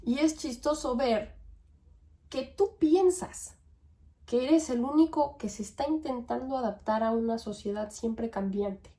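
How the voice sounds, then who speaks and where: A woman's voice: on the high side, mellow. A young woman with a Mexican accent and a slightly raised voice talks through her thoughts as a podcast host. Her delivery is conversational and musing, full of little tag questions and fillers. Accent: Mexican Spanish. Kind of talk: reflective monologue.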